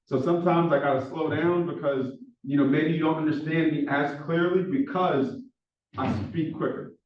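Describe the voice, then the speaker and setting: A man with a Philadelphia accent lecturing a class as instructor, talking quickly with lots of filler words. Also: a little high, distant mic. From Philadelphia, USA. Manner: talks fast with many fillers, says he is monotone. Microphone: far room microphone. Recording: echoey classroom recorded from afar, dull sound.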